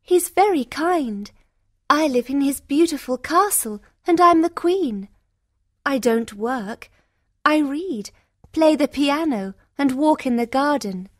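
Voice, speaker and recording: A woman reading a storybook aloud and voicing Beauty, a gentle, kind young woman with a sweet, bright voice. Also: high voice, animated, takes it slowly, no room sound, studio quality, pitched above the performer's own voice. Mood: contented.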